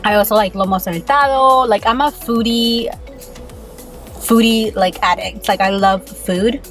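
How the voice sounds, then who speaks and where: Young woman, casual vlogger chatting between bites, mid-pitched voice, soft music underneath. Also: unhurried. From Peru. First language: Spanish. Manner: chatty, keeps saying "you guys", talks while eating. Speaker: beauty YouTuber. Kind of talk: casual mukbang vlog chat.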